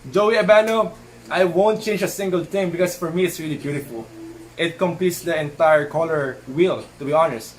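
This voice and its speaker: A man's voice: a little high, a little hiss or hum. A young man answering fan questions in a casual live-stream chat, earnest and switching between English and Tagalog as he talks. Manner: says he stutters when his thoughts run fast.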